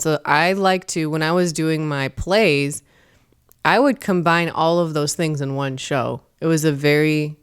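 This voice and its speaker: A woman's voice: sits low, clean tone. A woman guest on a podcast, talking in casual interview style, earnest and emphatic when she speaks about faith. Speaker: podcast guest, comedian and performer. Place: studio.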